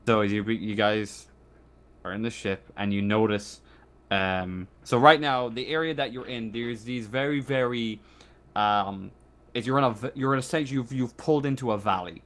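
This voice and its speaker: A man running a tabletop game, improvising scene narration in a halting way and repeating phrases as he searches for words. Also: medium pitch, a bit bright. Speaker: game master.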